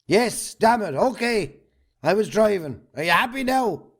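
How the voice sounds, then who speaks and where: A man with an Irish accent acting a defensive, guilt-ridden farming man in an amateur drama, speaking haltingly. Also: high voice, very animated, heavily acted. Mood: agitated, defensive.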